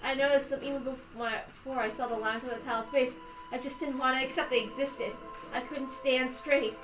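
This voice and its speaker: A woman's voice: high-pitched, fairly even intonation, fairly quick, echoey room, low-quality recording. A woman reading a visual novel aloud as a dramatic first-person narration. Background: background music.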